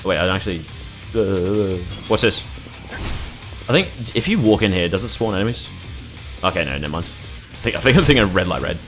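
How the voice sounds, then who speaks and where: A man's voice: deep voice, sing-song, a little fast, pauses often, a bit bright, soft background music. A young man casually commentating his gameplay, rambling and swearing freely as he reacts to the game. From Australia. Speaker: gaming YouTuber.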